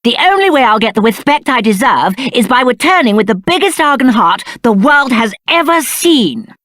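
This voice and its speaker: A man's voice: extremely high pitch, big pitch swings, moderate pace, pushed and bright, no room sound, clean studio sound, heavily acted. A male actor playing a spoiled, cowardly young prince in a video game, with a British accent and haughty, whiny, aristocratic diction. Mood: disappointed, pompous. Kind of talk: theatrical video game character acting.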